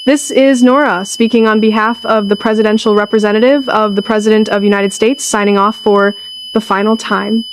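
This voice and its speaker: A woman playing a solemn government announcer, reading a scripted doomsday emergency broadcast in a formal, measured way. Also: mid-range voice, steady tone, noisy background, close to an everyday voice. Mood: solemn, grave.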